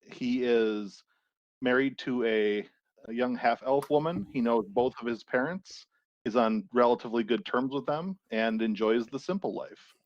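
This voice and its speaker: A man playing a tabletop game, casually describing things in a halting, measured way. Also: medium pitch, dry recording, lo-fi sound. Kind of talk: casual character introduction.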